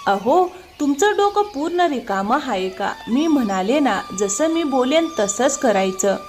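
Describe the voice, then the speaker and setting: A woman's voice: sits high, very animated, unhurried. A woman voicing a greedy, bossy, scheming wife in a cartoon moral tale. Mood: scornful, harsh. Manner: bossy, scornful. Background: music.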